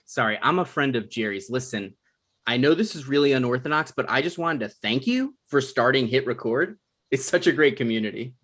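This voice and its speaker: A man's voice: mid-range voice, animated, fairly quick, fairly bright tone. A man playing an eager, hapless aspiring TV writer in a comedy table read.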